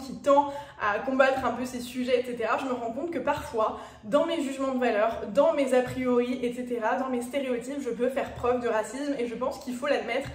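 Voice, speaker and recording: A woman's voice: sits high, roomy sound, recorded from a distance. A woman in her twenties with a Parisian French accent, talking to viewers in a chatty personal vlog. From France. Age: 20s. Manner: chatty, direct address to viewers, frequent fillers. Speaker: YouTuber. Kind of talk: casual personal vlog talk.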